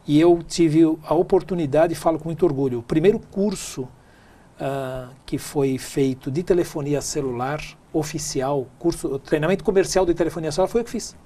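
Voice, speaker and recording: Middle-aged man, São Paulo Brazilian accent, slightly high voice, conversational storyteller in an interview seat. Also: moderate pace, dark tone. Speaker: interview guest, tech speaker and businessman.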